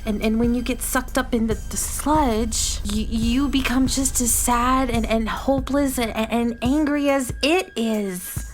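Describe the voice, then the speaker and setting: A woman's voice: a little high, a little slow, hardly any pauses, close to an everyday voice. A woman playing an anxious young alien girl with a nervous stammer in a children's audio drama. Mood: panicked. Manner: stammering, repeating words nervously. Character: anxious young alien schoolgirl, secretly a magical heroine. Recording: music playing behind.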